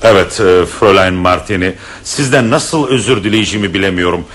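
A man's voice: low voice, fairly quick, fairly bright tone, a little hiss or hum. An actor playing a shy, formal widowed professor and father in a vintage radio play, speaking in courtly, old-fashioned phrases. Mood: bashful.

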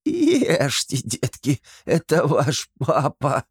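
A lewd swamp kikimora with a thin, shaky, nasal coo that climbs high and slow. She drags out every word, as a male storyteller acts her out. Dry, close sound. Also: huge pitch swings, husky, dry recording, exaggerated voice. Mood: eerie cooing. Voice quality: thin, quavering, nasal cooing. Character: creepy female swamp kikimora, cooing and lewd.

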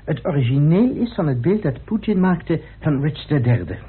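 An actor playing an earnest, deferential official who explains formally in an old radio play. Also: male voice, fairly high pitch, very animated, normal speed, husky, full character voice. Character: earnest, deferential government official presenting evidence. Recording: scratchy lo-fi old radio recording.

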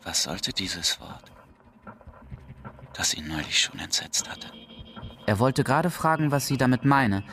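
A man's voice: mid-range voice, big pitch swings, measured pace, long pauses, bright, forceful tone, a little hiss or hum. A man reading scripted literary narration as the narrator of a radio drama.

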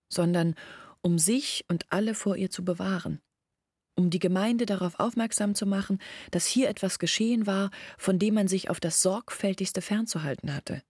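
An actress playing a sorrowful young village woman in a radio play, speaking in a hushed, deliberate, brooding way. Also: mid-range voice, husky, no room sound, clean studio sound. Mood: grave, explaining. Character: sorrowful young village woman recounting her family's disgrace. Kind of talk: radio play monologue, intimate recounting.

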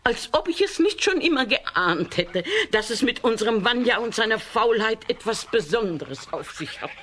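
Shrill, animated, feisty peasant aunt. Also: female voice, moderate pace, a little hiss or hum. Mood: delighted, amazed. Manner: exclamatory, scolding. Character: feisty, excitable peasant aunt, scolding and doting. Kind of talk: German children's radio play acting.

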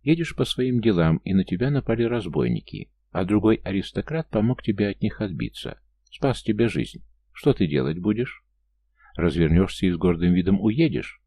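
A commanding fantasy healer-priest, read by a male narrator, brusque and given to lecturing. The voice is low and gravelly, set in a dry audiobook recording. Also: very animated, normal speed, mellow, dry recording, full character voice.

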